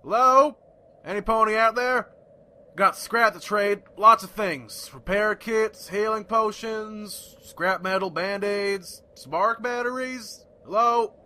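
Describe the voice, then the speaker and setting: A man's voice: sits high, slow, pushed and bright, full character voice. A man voicing a pony wasteland peddler, calling out in an audio drama as if hawking wares. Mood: hopeful, calling.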